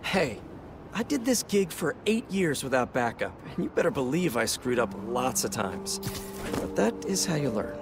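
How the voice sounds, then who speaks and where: A man's voice: medium pitch, very animated, moderate pace, over music. A man playing an encouraging, joking young veteran superhero in a video game, breezy and reassuring. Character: encouraging, jokey young adult male veteran superhero mentor. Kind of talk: naturalistic video game voice acting.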